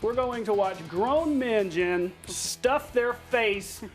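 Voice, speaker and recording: A man anchoring a television sportscast in a playful, conversational way, reading highlights and bantering with a co-host. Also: lively intonation, slow pace, faint music behind. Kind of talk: upbeat scripted sportscast narration with banter.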